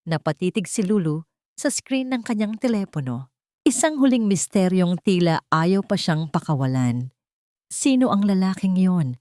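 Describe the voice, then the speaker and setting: A woman giving dramatic scripted narration of a sentimental story, with solemn, deliberate phrasing. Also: on the low side, warm tone, dry recording, clean studio sound. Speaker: audiobook narrator.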